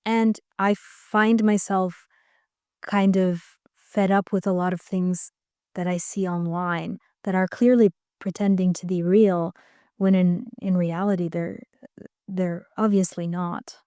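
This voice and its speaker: A woman's voice: lowish voice, fairly even intonation, slow, a little mellow, smooth, no room sound, studio quality. A woman from the United States, a podcast guest speaking in a thoughtful, hesitant way with many restarts. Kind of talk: reflective interview talk.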